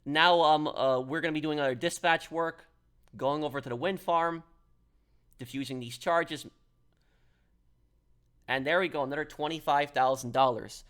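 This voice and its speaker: A man's voice: on the high side, a bit bright. A man giving a casual, step-by-step gameplay tutorial in an instructional way, like an online gaming guide host.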